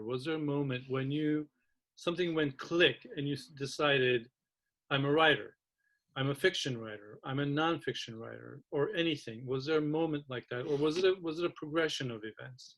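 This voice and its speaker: A man's voice: mid-range voice, a bit bright, poor audio. A man raised in Turkey, hosting a casual conversation among writing teachers, speaking thoughtfully and stopping often to restart. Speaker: host of faculty round table.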